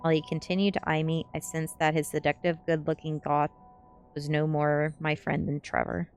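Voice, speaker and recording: A woman with a low voice reads a novel aloud in an ASMR style. It is first-person story narration, set over faint background music. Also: flat delivery, normal speed. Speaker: streamer reading a novel aloud.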